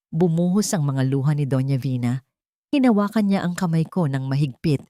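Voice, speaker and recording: A woman with a slightly low voice narrates a scripted drama in the first person. The recording is dry, with very little room sound, and the delivery is that of a dramatic storyteller. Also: dark tone, dry recording.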